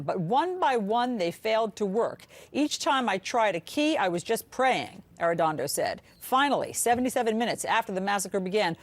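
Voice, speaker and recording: A woman news anchor reading a report and putting measured questions to a guest. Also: on the high side, dry recording.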